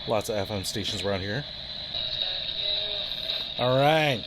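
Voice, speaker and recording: A man's voice: on the low side, animated, measured pace, heavy background noise. Upbeat man reviewing gadgets. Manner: upbeat, playful showman. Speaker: product reviewer and channel host. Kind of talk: casual product review. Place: studio.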